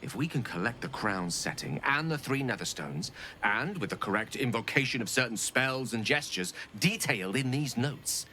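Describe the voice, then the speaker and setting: An actor playing an eloquent, refined wizard in a video game, speaking articulately and theatrically. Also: male voice, mid-range voice, expressive, moderate pace, pushed and bright, slight background noise. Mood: intrigued.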